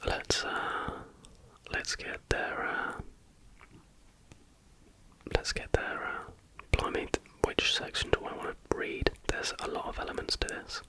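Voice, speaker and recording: A man speaks in a steady, breathy whisper. He reads aloud in ASMR style, soft and measured, never bringing full voice into the words. The delivery is unhurried and factual. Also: rough recording. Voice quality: unvoiced whisper throughout. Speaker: ASMR host reading aloud.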